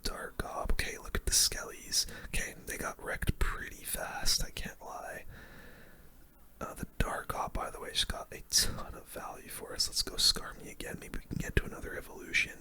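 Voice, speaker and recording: A game streamer whispering casual, slangy ASMR commentary in a breathy, unvoiced voice close to the microphone. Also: moderate pace, sharp tone. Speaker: gaming video host.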